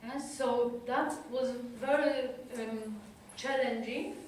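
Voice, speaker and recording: European woman therapist telling her story, slow, searching for words, lots of room echo, faint hiss behind. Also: on the high side, fairly even intonation. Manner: halting, searching for words in a second language. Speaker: psychotherapist giving a talk. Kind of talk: personal talk to an audience. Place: talk room at an institute. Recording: echoey room from a distant mic.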